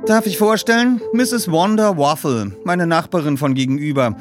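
Audiobook role: brash, gushing chain-smoking neighbour woman played by a man, high, loud and very animated. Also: normal speed, music in the background, close to an everyday voice. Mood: gushing, overbearing. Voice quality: coughing smoker's voice. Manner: gushing, breathless torrent of words. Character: huge brash chatty middle-aged woman, chain-smoking nosy neighbour.

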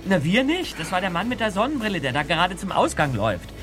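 An actor playing a lively, observant teenage boy detective in a German audio drama. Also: high-pitched, quick pace, music in the background. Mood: defensive, explaining.

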